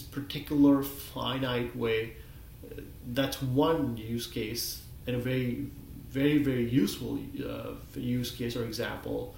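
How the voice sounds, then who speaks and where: A man in his forties with a croaky voice from a cold, talking at a whiteboard in a small office. He speaks haltingly, with many fillers and restarts, and the sound comes from a desk webcam. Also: mid-range voice, a little mellow, reverberant, a little hiss or hum, rough recording. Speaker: self-taught presenter. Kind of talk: rambling solo talk at a whiteboard.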